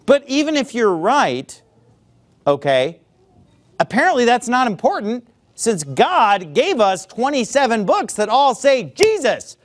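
High, animated preaching man. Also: measured pace. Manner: emphatic, sarcastic preaching with sudden outbursts. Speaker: pastor. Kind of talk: sermon. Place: church.

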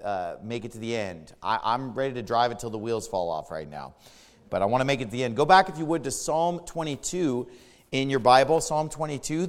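Male pastor, slightly high voice worn hoarse, emphatic sermon delivery, echoing hall. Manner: emphatic preaching with rhetorical questions. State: strained voice from overuse.

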